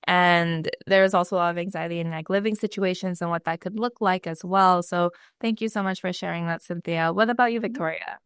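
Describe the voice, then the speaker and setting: A young woman studying at a community college, leading a casual panel interview and warmly affirming each answer. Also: fairly low pitch, fairly even intonation, a little fast, few pauses, clear, resonant tone, no echo, studio quality. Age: young adult. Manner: warm, affirming, upbeat. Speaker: student interviewer.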